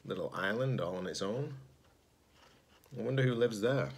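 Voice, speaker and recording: A man's voice: fairly low pitch, moderate pace, gravelly, a little hiss or hum. A man, a teacher-librarian reading a children's storybook aloud. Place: small indoor room at home.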